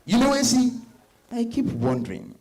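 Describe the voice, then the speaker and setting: An actor with a West African accent playing a married suitor in dramatized novel dialogue, hesitant, coaxing and earnest. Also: male voice, very high-pitched, wildly swooping pitch, moderate pace, darkish tone, exaggerated voice. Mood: flattering. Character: smooth-talking married suitor, hesitant yet insistent husband.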